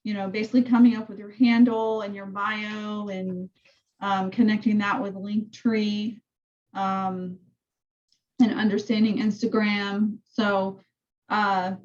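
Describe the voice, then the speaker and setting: A woman with a soft voice, casually walking her real estate team through training tools on a call. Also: medium pitch, little pitch movement, a little slow, dull audio. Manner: chatty, rambling explanations. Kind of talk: casual team meeting walkthrough.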